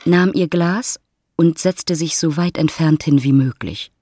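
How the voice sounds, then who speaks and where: A man narrating a literary audiobook in a measured, dramatic storytelling manner. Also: on the high side, dark tone, no room sound, clean studio sound.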